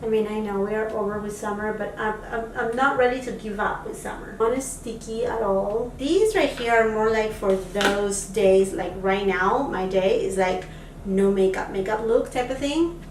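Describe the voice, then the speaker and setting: A woman's voice: mid-range voice, normal speed, darkish tone, a little hiss or hum. A woman from Peru, a beauty vlogger, chatting casually through a makeup try-on with lots of fillers, recorded on a camera mic at a distance in a home room. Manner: chatty, lots of fillers, enthusiastic about products. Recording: echoey room, voice a bit off-mic.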